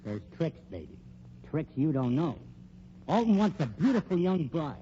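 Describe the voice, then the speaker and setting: A man's voice: a little high, sing-song, normal speed, dark tone. Slick, swooping tough-guy private eye. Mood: pushy, scheming. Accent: American. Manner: wisecracking, pushy, tough-guy slang. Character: slick, menacing male private detective and con man. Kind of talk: old-time radio crime drama acting. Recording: dull old radio recording, faint noise.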